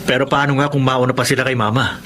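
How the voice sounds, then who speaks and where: Earnest, concerned man, played by an actor for a radio serial, average male pitch. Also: steady tone, fast, fairly bright tone, a little hiss or hum, lightly acted. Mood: uneasy. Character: worried, earnest man in a family drama. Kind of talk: Filipino radio drama acting.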